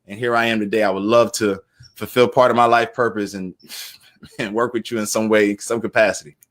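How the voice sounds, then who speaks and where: An American man in his thirties telling his personal story as an interview guest, earnest and halting, often repeating words. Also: lowish voice, steady tone, a bit bright. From United States. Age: 30s.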